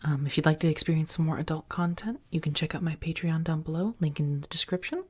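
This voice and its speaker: A soft-spoken woman, an audio roleplay creator, chatting gently and appreciatively to her listeners. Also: sits low, fast, slightly rough.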